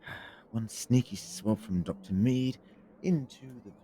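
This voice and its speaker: An actor playing an eccentric, inquisitive time-traveller in an audio drama, muttering briskly to himself. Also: male voice, on the low side, mellow, slight background noise, close to an everyday voice. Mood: puzzled, musing.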